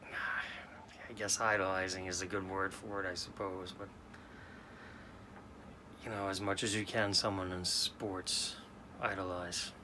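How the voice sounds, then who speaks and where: A man in his late forties from New York, talking slowly and haltingly in a sad, subdued way as a sports card vlogger. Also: sits low, level tone, noisy background. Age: 40s. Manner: halting, subdued, many restarts. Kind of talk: casual personal talk to camera.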